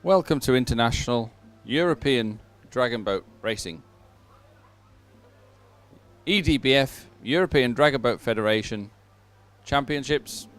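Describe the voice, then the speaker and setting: Guy doing live sports commentary, smooth clean voice, chatty and a bit halting, faint music behind him. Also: medium pitch, fairly bright tone.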